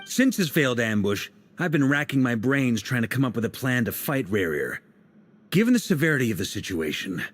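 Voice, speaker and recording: An actor voicing a charming, sly adult male knight in a video game, speaking in a smooth, playful, persuasive way. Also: mid-range voice, natural voice.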